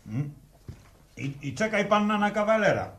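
An actor playing a stubborn, stingy farmer son in a 1960s radio serial, gruff and sarcastic, stumbling into repeated words. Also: male voice, high voice, big pitch swings, normal speed, fairly bright tone, full character voice. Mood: scornful haggling. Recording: old echoey radio recording, faint noise.

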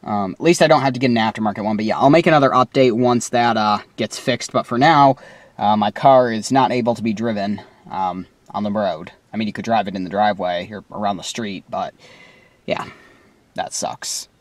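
A man's voice: on the low side, fairly quick, no echo. Young man rambling through a car tour. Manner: rambling, many ums and restarts. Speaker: vlogger. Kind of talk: casual car walkthrough vlog. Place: in and around a parked car in a driveway, evening. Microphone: handheld camera mic.

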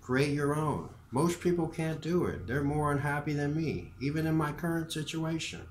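A man voicing a frail, dying old male professor who speaks gently and calmly in an unhurried way. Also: a little high, slight background noise, pitched above the performer's own voice, natural voice. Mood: earnest. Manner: gentle, unhurried, softly earnest. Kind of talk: audiobook character voice. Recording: roomy echo with faint ambience.

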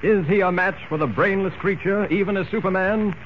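A man's voice: sits high, a little fast, a little hiss or hum. A man announcing a radio serial in an urgent, declamatory narration, on a muffled old radio recording.

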